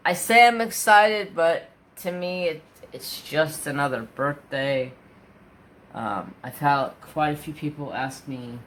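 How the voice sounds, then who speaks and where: Slow-talking man in his forties. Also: fairly high pitch, a bit bright, a little hiss or hum. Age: 40s. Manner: rambling, chatty storytelling with restarts. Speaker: crafting vlogger. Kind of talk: casual chat while crafting.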